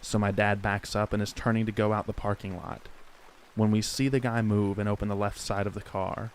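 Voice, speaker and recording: A man hosting a channel and calmly narrating true scary stories for sleep. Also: lowish voice, brisk, a little hiss or hum. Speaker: host and horror narrator. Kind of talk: calm sleep-story narration.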